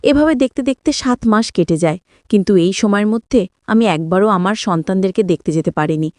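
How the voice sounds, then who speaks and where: A woman reading a scripted first-person story in a measured, dramatic storytelling manner. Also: mid-range voice, darkish tone, no echo, clean studio sound. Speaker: story narrator.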